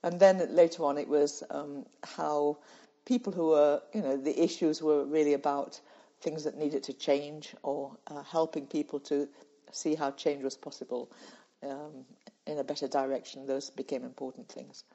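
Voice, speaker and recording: A woman's voice: low voice, fairly even intonation, normal speed, dark tone. An older woman from the UK, a guest in an interview, telling stories in a reflective way and laughing easily. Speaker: guest, former charity president. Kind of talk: conversational interview storytelling. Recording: slightly muffled, lo-fi audio.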